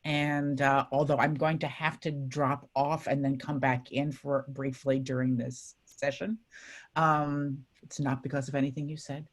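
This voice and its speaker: A woman who runs a performance festival, speaking on a video call in a friendly, halting way with many fillers. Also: extremely low pitch, little pitch movement. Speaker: festival director. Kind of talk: casual group check-in on a video call.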